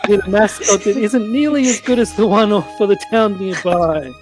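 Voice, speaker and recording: Woman narrator, medium pitch, comic radio play narration, faint music underneath. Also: normal speed, darkish tone.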